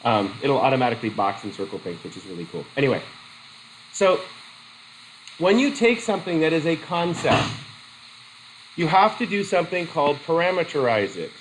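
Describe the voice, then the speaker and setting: A middle-aged man with a cold teaching a psychology methods class, halting and restarting as he questions students in a whiteboard classroom. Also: on the high side, big pitch swings, slight background noise, low-quality recording. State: has a cold. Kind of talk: classroom lecture.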